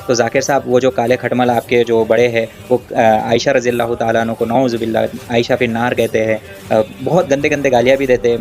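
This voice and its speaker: Man delivering a fast, taunting rebuttal. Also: mid-range voice, a little mellow. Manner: taunting, confrontational, directly addressing an opponent. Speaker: religious debater giving a rebuttal. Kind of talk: argumentative rebuttal commentary.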